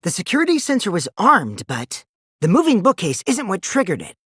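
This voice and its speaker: A man's voice: high voice, wildly swooping pitch, fairly quick, a bit bright, husky, no echo, clean studio sound, full character voice. An actor voicing a mischievous, taunting teenage boy who lies for fun in a video game, theatrical and teasing, swinging from sly to gleeful. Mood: sly, accusing. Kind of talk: animated video game voice acting.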